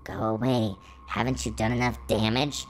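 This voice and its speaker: A woman's voice: extremely low pitch, level tone, moderate pace, slight background noise, pitched below the performer's own voice. A woman voicing a polite, weary female robot stranger with a courteous, formal way of speaking. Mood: distressed.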